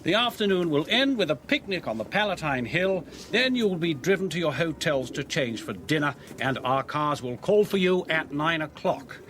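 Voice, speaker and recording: An actor playing a smooth, officious tour guide in a radio drama, with a formal, flowery way of announcing. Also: male voice, fairly high pitch, big pitch swings, moderate pace, hardly any pauses. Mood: formal, brisk. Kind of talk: British radio drama acting.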